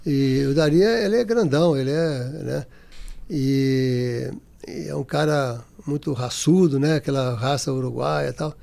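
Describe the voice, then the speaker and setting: A Brazilian man in his sixties, a former footballer, being interviewed in casual talk, halting, with many restarts. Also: medium pitch, vocal fry, dark tone. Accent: Brazilian Portuguese.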